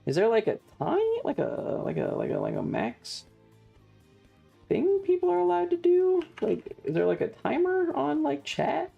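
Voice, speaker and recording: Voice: high voice, wildly swooping pitch, normal speed, creaky, phone-quality sound. A game streamer chatting casually and swearing freely over game music. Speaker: livestream host. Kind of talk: casual gaming stream chat.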